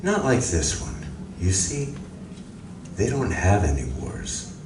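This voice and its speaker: Man playing a low-voiced, courteous alien visitor, distant. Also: sing-song. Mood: gentle, curious. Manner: polite, measured, formal phrasing. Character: mild, courteous, curious male visitor from another planet. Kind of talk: stage radio drama acting. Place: community room of a public library. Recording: roomy, distant, noisy live library recording.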